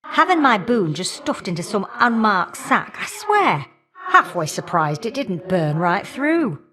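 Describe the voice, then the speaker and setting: A woman's voice: mid-range voice, big pitch swings, unhurried, bright, forceful tone. A woman voicing a feisty, folksy elder goddess of flame in a video game, sassy and affectionately teasing. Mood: bracing, brisk. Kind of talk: video game character dialogue.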